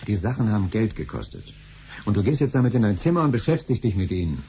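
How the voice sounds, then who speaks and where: A frustrated middle-aged dad in an old german radio play, deep mellow voice, pushy and grumbly. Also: male voice, sing-song, fast, breathy edge, heavily acted. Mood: stern. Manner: grumbling, insistent.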